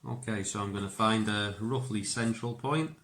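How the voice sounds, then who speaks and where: A man with a fairly low-pitched voice, a native speaker of English, works as a bushcraft instructor. He explains practical craft steps casually and informally, thinking aloud as he goes. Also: moderate pace, fairly bright tone. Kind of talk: casual hands-on craft tutorial.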